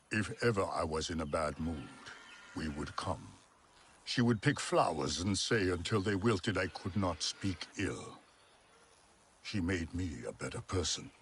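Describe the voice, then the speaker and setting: A man playing a proud, widowed alien hunter in a video game, gruff, blunt and brooding. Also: low voice, a bit bright, slight background noise, exaggerated voice. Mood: mournful. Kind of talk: video game cutscene acting. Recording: music underneath.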